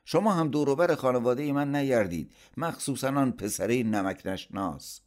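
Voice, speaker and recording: A man's voice: mid-range voice, pitched above the performer's own voice, lightly acted. A man voicing a furious, blustering middle-aged uncle who scolds and accuses in a dramatised story. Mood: furious, indignant. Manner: aggressive, indignant, accusing.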